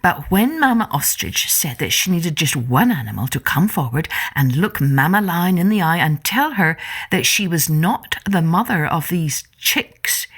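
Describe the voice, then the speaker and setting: Woman storyteller for a kids' podcast: lowish pitch, unhurried, theatrical, very animated phrasing. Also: slightly rough. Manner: deliberate, dramatic, theatrical delivery. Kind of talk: expressive scripted storytelling for young children.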